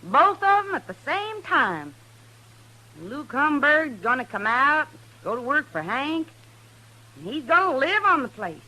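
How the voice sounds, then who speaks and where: An actress playing a stubborn, rough-spoken American frontier woman, folksy and defiant in an old-time radio western. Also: high voice, sing-song, unhurried, sharp tone, full character voice, poor audio. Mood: pleading, insistent.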